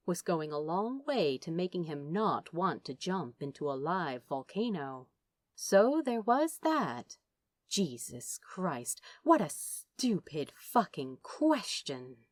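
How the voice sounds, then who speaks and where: Animated woman narrator, unhurried. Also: on the low side, dry recording, studio quality. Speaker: audiobook narrator of fan fiction. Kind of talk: expressive scripted narration.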